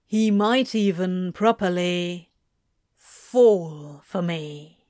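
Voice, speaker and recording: A woman plays an insolent male demon from a story reading. He sounds sneering and defiant, hissing his contempt in a slow, deliberate delivery. The sound is close and dry. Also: lowish voice, darkish tone, dry recording. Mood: insolent, sardonic.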